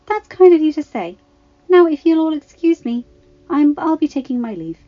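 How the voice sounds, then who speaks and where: A woman's voice: high voice, big pitch swings, normal speed, muffled recording, voice pushed up. A woman voicing a proud young female senator in an audio story, formal, firm and icy. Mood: polite, strained. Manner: stern, formal.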